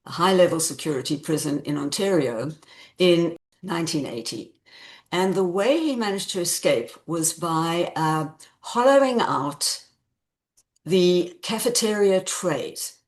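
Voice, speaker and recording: A woman author in an interview, explaining things conversationally in a measured, reflective way with careful phrasing. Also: low-pitched.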